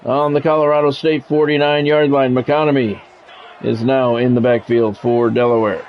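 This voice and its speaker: A man's voice: medium pitch, flat delivery, moderate pace, lo-fi sound, slight background noise. A man doing radio-style play-by-play of a football video game on a livestream, with swearing and greetings to viewers.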